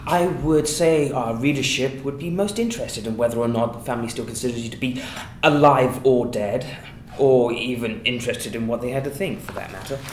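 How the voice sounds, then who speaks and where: A man's voice: medium pitch, fairly quick, close to an everyday voice. A man playing a prying newspaper reporter, formal and hedging, at a screenplay table reading. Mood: hesitant, needling. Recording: echoey room, faint background noise.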